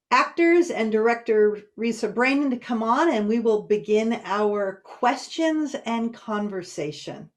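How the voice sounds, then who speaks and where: A woman hosting a post-show discussion, speaking appreciatively with hesitant phrasing. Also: fairly high pitch. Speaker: host and moderator.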